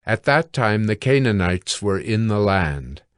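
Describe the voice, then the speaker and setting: A man narrating scripture from a script in a measured, formal reading manner. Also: on the low side, very animated, no room sound, clean studio sound.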